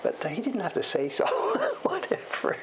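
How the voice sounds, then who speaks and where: Gravelly man chatting while he carves. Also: very high voice, wildly swooping pitch, moderate pace, a little hiss or hum. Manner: rambling, self-deprecating, chuckling storyteller. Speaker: streamer and woodblock print carver. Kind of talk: casual livestream chat while carving.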